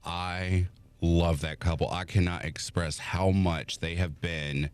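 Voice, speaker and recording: A man with a voice he calls made for radio, a podcast guest telling his story in an animated way and acting out what others said. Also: low-pitched, takes it slowly, smooth, faint music behind. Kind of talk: casual chat on a podcast.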